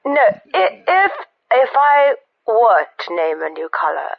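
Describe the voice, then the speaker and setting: A woman's voice: a little high, big pitch swings, unhurried, vocal fry, no room sound, exaggerated voice. An actress playing an earnest, slightly dotty arty woman, hesitant and vague as she explains herself on a spoof phone-in. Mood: earnest musing. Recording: dull, dry telephone line.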